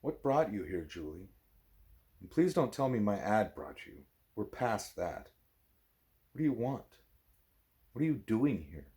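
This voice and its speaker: Man playing huge gorilla teacher, audiobook character, measured, courteous, formal, varied intonation. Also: fairly low pitch, big pitch swings, mellow. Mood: probing. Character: huge, patient, learned male gorilla teacher.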